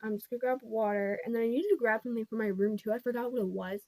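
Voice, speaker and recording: A young woman with a somewhat high, congested voice tells a story to her channel. She rambles and circles back, leaning on "like" and "pretty much". The sound is close and dry, with no room around it. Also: racing, warm tone, no room sound, rough recording. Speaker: vlogger. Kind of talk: casual storytime.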